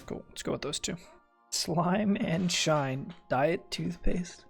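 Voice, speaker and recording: A man's voice: on the high side, slow pace, gravelly, soft background music. A man giving casual, sarcastic commentary while playing a video game, with muttered asides. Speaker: gameplay streamer.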